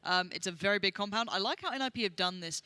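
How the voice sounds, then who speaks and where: High-voiced excitable male esports caster. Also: fast, smooth, no echo. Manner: excitable, shouts during fights. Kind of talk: live esports commentary. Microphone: headset.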